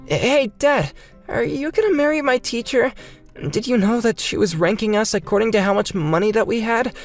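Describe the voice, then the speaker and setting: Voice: medium pitch, moderate pace, soft background music, voice pushed up, close to an everyday voice. A narrator voicing a plucky young schoolboy, earnest and blunt, in a manga dub. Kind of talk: manga dub character acting.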